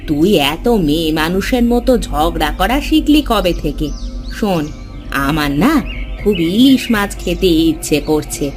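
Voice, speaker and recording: A woman voicing a weary, indulgent older mother in a cartoon, gently scolding her son. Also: on the low side, very animated, measured pace, soft background music. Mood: placating.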